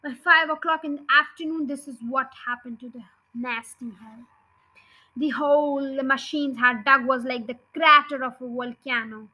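Bright, high-voiced woman reading a story. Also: steady tone, normal speed, poor audio. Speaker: storybook reader, podcast host. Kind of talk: expressive children's audiobook narration.

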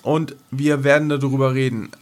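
A man's voice: medium pitch, fairly even intonation, normal speed. A man co-hosting a casual podcast in a colloquial, joking, somewhat hesitant way. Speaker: podcast co-host.

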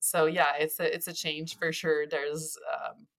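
A woman in her forties with a Quebec French accent, a native French speaker, chatting as a podcast guest with frequent pauses and restarts. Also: low voice, flat delivery, fairly bright tone. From Quebec, Canada. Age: 40s. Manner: earnest, pauses often with fillers and restarts. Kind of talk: casual interview chat.

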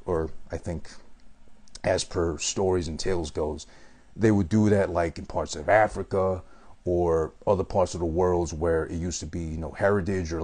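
A man's voice: low-pitched, a little mellow. A man giving a rambling, profane movie review with an unusual voice. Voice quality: unusual voice that might put people off. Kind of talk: casual profane movie rant.

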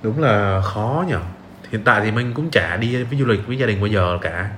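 A man's voice: fairly low pitch, moderate pace, fairly bright tone. A man with a southern Vietnamese accent hosting a casual podcast interview, asking questions and giving short agreeing replies. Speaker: podcast host. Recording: roomy sound with faint noise.

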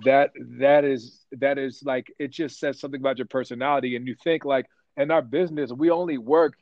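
A man being interviewed about his work as a voice actor, telling stories in a rambling, animated way with lots of restarts. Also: medium pitch, fairly quick, no room sound, highs cut off. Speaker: voice actor guest. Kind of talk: casual interview chat.

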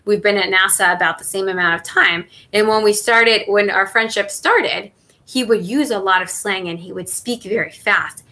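A woman in her thirties from southern Mexico whose first language is Spanish, talking through an interview with a bright, clear tone. She thinks aloud, pausing on fillers and restarting her sentences. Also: mid-range voice. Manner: thoughtful, many fillers and restarts. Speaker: guest, NASA engineer. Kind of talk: conversational interview answers.

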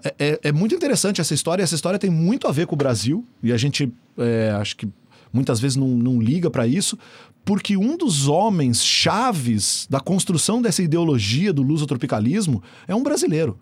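A man's voice: fairly high pitch, sing-song, a little fast, darkish tone, slightly rough, dry recording. A man. He is a researcher giving an interview, explaining things in a thoughtful, hesitant way with frequent restarts. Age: 40s. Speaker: researcher guest.

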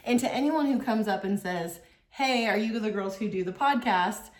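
A young woman co-hosting a podcast in casual chat, blunt and joking, with a deep voice for a woman. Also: moderate pace. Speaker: podcast co-host. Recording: echoey room.

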